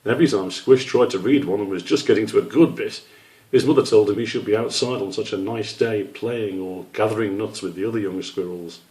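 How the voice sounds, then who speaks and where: A man's voice: fairly low pitch, brisk, darkish tone, poor audio. A man narrating a children's storybook as the author reading his own work.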